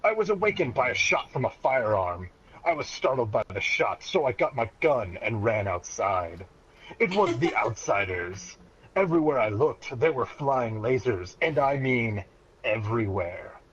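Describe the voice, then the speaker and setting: A man reads a story aloud with mock-serious drama, prone to cracking up as he goes. His voice sits at a middling pitch for a man. The recording is dull and lo-fi, with clear noise behind him. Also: sing-song, moderate pace, fairly bright tone. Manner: mock-serious, often breaking into laughter. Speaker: reader of a childhood story.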